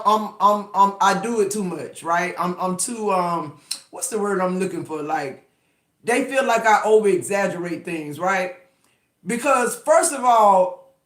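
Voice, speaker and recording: A man's voice: extremely high pitch, fairly bright tone. A man in his fifties giving a casual tarot reading, talking emphatically with profanity and rambling restarts. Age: 50s. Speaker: tarot reader. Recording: echoey room.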